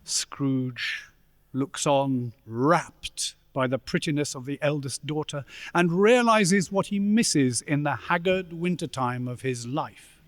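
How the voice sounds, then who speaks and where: A man narrating a radio play as dramatic, deliberate storytelling with suspenseful pauses. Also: a little high, a bit bright, no echo, studio quality. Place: live stage performance in a church.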